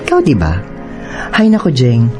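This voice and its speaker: A spoiled young radio-drama mean girl, swooping dramatically through her pitch. She gives haughty, slangy Taglish commands and taunts, with music underneath. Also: huge pitch swings, moderate pace, darkish tone, full character voice. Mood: bossy, taunting. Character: spoiled, mocking young woman bossing her housemaid cousin.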